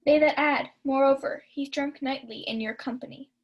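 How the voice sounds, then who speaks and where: Household maid woman in the role, radio Shakespeare: high voice, scolding, quick-witted. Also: fairly even intonation, lo-fi sound, lightly acted. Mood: scornful. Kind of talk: student Shakespeare radio acting.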